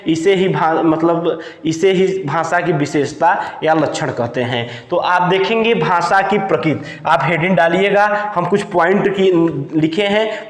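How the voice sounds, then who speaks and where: A man teaching an exam-prep lesson point by point, repeating lines and answering his own questions. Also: a little high. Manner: repetitive, asks questions and answers them himself. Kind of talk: lesson explained point by point for note-taking. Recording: echoey, reverberant room.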